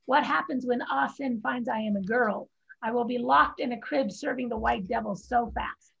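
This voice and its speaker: A woman playing a sharp, cheeky young Chinese girl scribe in a staged play reading. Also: a little high, moderate pace, vocal fry, breathy edge, exaggerated voice. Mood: urgent, scheming. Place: home room, video call. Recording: muffled video-call audio.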